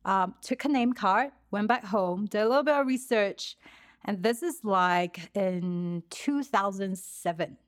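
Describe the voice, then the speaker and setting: A woman's voice: mid-range voice, measured pace. A woman from Singapore with a Singaporean English accent, a wellness coach being interviewed and talking reflectively about her life, with frequent fillers and restarts. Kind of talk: conversational interview.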